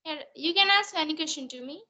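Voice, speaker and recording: Quick, high teenage Indian English girl. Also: sharp tone. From India (Jharkhand). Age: teens. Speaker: student. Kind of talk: online conversation practice. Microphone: video call.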